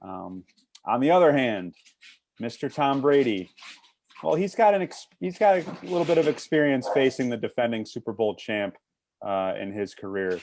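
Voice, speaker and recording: A man giving conversational sports commentary as a panelist, measured and methodical with a wry touch. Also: mid-range voice, big pitch swings, darkish tone.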